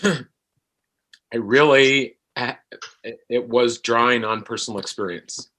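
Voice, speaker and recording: A middle-aged man, an actor being interviewed, speaks in a halting, thoughtful way and often repeats his phrases. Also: mid-range voice, sharp tone. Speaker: actor guest. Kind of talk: reflective interview conversation.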